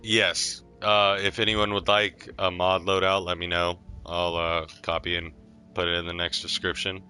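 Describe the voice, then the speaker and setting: A man roleplaying live while playing a video game, halting and thinking aloud as he goes. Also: sits low, monotone, pushed and bright, clean tone, soft background music. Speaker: streamer roleplaying a game character. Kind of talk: live in-character gameplay roleplay.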